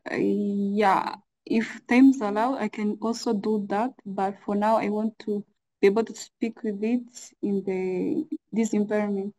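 Soft-toned woman student, mellow voice. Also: medium pitch, fairly even intonation, unhurried, poor audio. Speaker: student asking questions. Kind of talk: casual question in online class. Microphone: video call.

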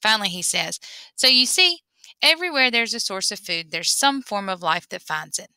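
A woman reading a memoir aloud in an expressive storytelling way. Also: mid-range voice, moderate pace, smooth, no echo. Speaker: reader.

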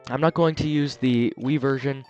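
A man hosting a let's play, chatting casually and explaining as he plays, his voice a little raspy and scratchy from a sore throat. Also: mid-range voice, steady tone, normal speed, a little mellow, lo-fi sound, faint music behind. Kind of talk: casual gameplay commentary.

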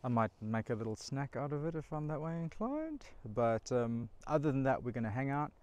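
A man's voice: medium pitch, big pitch swings, fairly quick, a little mellow. A man in his thirties talks enthusiastically to his viewers from a forest campsite. He sounds chatty and pleased, and his voice is picked up outdoors by a camera mic. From Australia. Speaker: camping vlogger. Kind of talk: casual solo camping vlog. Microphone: camera mic, handheld selfie and tripod framing.

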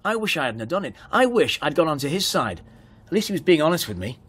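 An actor playing a guarded, sardonic motorist in a naturalistic radio drama, blunt and questioning in manner. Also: male voice, a little high, sing-song, fast talker, fairly bright tone, slightly rough, full character voice. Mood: sardonic, accusing. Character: guarded, sardonic male motorist sheltering a hitch-hiker.

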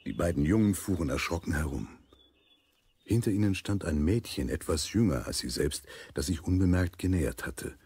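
A man narrating a children's audio drama as an amused storyteller, with a gravelly, husky voice. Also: deep voice, lively intonation, moderate pace, dark tone, no echo, full character voice. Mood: amused storytelling. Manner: storyteller addressing young listeners.

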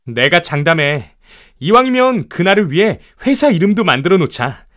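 An ambitious, high-voiced young inventor. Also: male voice, very animated, moderate pace, fairly bright tone. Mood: confident. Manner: earnest, emphatic, theatrical. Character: ambitious, confident young male inventor and entrepreneur. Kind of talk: children's audio storybook acting.

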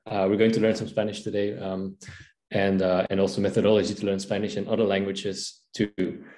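A man's voice: fairly low pitch, level tone, a little fast, a little mellow. A man in his thirties from Belgium, a Dutch native speaker with a Belgian Dutch accent, giving an online language-learning talk over a video call, friendly and full of fillers and restarts. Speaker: language teacher and presenter. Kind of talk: online conference presentation. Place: home room, video call. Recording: echoey room.

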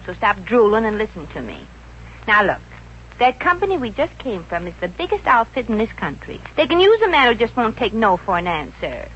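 An actress playing a brash, brisk, bossy but soft-hearted working girl in a 1950s radio comedy. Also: on the high side, huge pitch swings. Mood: bossy, coaxing. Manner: brisk, wisecracking. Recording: dull, hissy old radio recording.

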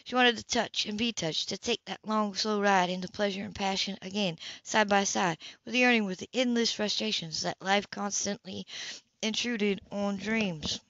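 A woman's voice: medium pitch, steady tone, normal speed, a bit bright, no echo. Woman reading a romance novel, dull recording. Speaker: amateur audiobook reader. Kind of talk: scripted narration of a romance novel.

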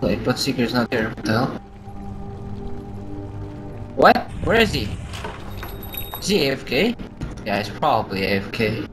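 A young man chatting excitedly with friends while playing a video game, swearing and whooping. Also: mid-range voice, moderate pace. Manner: excitable, swearing, yelps and laughs. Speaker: gamer in voice chat. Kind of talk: casual chat. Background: game music.

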